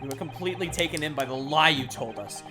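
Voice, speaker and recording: A streamer voicing an earnest young male lawyer who is high-pitched, excitable and indignant, over game music. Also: quick pace, natural voice. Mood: indignant, shocked.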